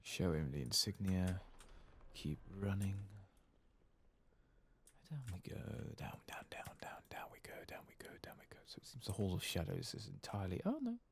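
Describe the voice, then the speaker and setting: A soft-spoken man doing ASMR-style game commentary, muttering casually to himself as he thinks aloud and sometimes dropping into a whisper. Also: low voice, wildly swooping pitch, moderate pace, creaky. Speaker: gaming video host.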